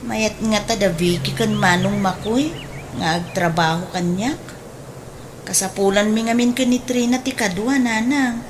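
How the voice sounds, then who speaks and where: A woman's voice: mid-range voice, a little slow, natural voice. A woman playing an eager, enterprising grown daughter in a radio drama, with an Ilocano accent and exclamatory, swooping delivery. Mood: hopeful. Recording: faint background noise.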